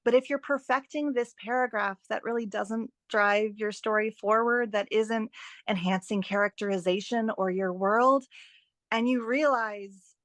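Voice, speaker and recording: A woman's voice: on the high side, measured pace, a bit bright, no echo. A woman giving encouraging, emphatic advice as an author and writing teacher in a conversational podcast interview. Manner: enthusiastic, encouraging, emphatic repetition.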